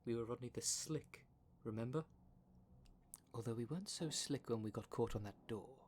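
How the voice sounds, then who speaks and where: A man's voice: medium pitch, normal speed, a little mellow, a little hiss or hum, natural voice. A man playing a prim, defensive dream demon in a formal, fussy, easily exasperated manner for an ASMR roleplay drama. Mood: resigned. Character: prim, defensive dream demon, one half of split creature.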